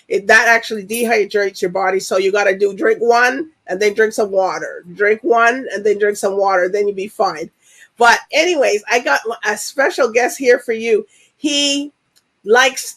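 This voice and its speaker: A talk show host chats loosely and rambles through an introduction, often stopping to rephrase. The delivery is casual and unscripted, in an everyday middle pitch. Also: a bit bright. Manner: chatty, rambling, laughs often.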